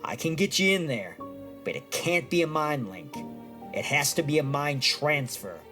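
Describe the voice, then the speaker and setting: A man voices a wisecracking psychic for an audio drama, slightly high for a male and very lively in pitch. He is gruff and impatient, with slangy wise-guy phrasing. Music plays clearly behind him. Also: normal speed. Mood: grave, explaining.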